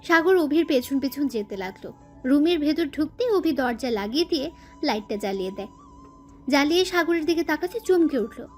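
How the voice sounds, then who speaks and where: Casting: female narrator for scripted romantic fiction, high voice, faint music bed underneath. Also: animated, a little fast. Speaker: story narrator.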